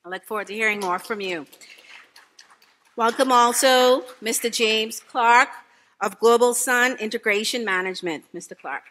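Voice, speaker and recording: A woman with a Bahamian accent, moderating a conference panel from a podium microphone on stage, with polite, measured introductions. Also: a little high, sharp tone, slight background noise. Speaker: panel facilitator. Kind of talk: formal event moderating.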